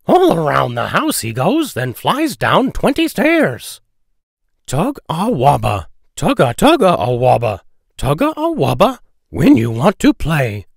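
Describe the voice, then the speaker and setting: Give me an animated male storybook reader. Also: on the high side, vocal fry, dry recording, clean studio sound. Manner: playful, deliberate, sing-song delivery of rhyming verse. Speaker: children's book read-aloud narrator. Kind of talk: expressive children's poetry storybook reading.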